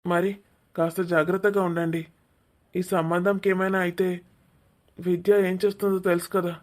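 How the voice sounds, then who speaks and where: A woman's voice: lowish voice, flat delivery, normal speed, natural voice. A woman voicing a polite, tactful young man who placates his elders in a cartoon family drama. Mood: placating.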